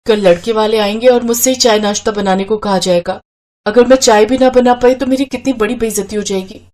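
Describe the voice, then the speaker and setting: A woman's voice: a little high, steady tone, brisk, darkish tone, lightly acted. A woman voicing a quarrelsome, anxious young sister in a cartoon story, with a plaintive, emotional delivery. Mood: worried.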